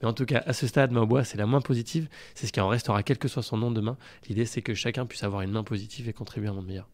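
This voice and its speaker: A man's voice: lowish voice, steady tone, racing, darkish tone. A man speaking conversationally as an interview guest, earnest, often repeating words as he searches for the next one. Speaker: podcast guest, company founder.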